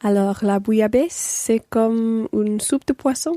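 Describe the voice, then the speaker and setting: A woman's voice: fairly high pitch, a little slow, dark tone, no room sound, studio quality, natural voice. A woman playing a friendly, sociable French mother in a scripted language-learning radio play. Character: friendly French mother of three, part-time English teacher.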